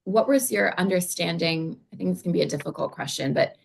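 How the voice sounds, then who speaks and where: Low, gravelly woman interviewer. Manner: measured, reads a formal introduction. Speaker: interviewer, program director. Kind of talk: interview host.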